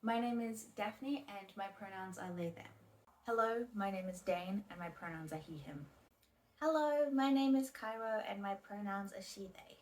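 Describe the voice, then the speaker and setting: A woman in her twenties speaking at a mid pitch in a plain, measured way. She answers short introduction questions one by one, and her voice often drops away softly at the end of a phrase. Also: poor audio. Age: 20s. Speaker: video creator. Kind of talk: interview-style self-introductions.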